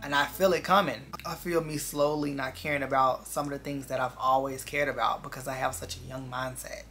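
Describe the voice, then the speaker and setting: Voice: mid-range voice, level tone, fairly quick, fairly bright tone. A vlogger in their twenties chatting casually and reflectively to viewers in an earnest, confiding way, repeating phrases for emphasis. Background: background music.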